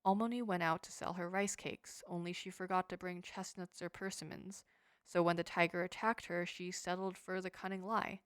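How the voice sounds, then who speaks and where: A woman reading a short story aloud in a quiet, steady, restrained way. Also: fairly low pitch, normal speed, clear, resonant tone, no echo, studio quality. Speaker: story narrator. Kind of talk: scripted narration of a short story.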